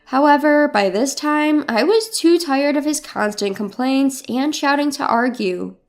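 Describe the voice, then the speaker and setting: A woman's voice: high-pitched, animated, a little slow. A woman reading a scripted first-person drama story as its narrator. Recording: music bed behind the voice. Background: background music.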